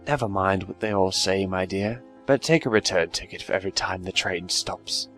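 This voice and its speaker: A solemn storybook gentleman acted by a man with a deep voice. He speaks in a measured, slightly pompous and confiding way over faint music. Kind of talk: dramatized audiobook acting.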